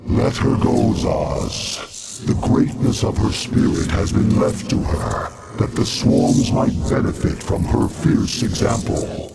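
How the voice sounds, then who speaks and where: An actor playing an ancient, commanding alien hive-mind overlord in a video game, speaking in a solemn, grandiose and gravelly manner. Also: male voice, mid-range voice, lively intonation, slow pace, very noisy. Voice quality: gravelly, heavy fry.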